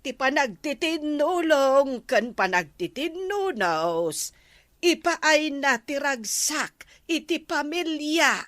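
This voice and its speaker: A woman with an Ilocano accent playing a gentle, motherly role in a family comedy sketch. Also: high-pitched, big pitch swings, takes it slowly, dry recording. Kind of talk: comedic family drama acting.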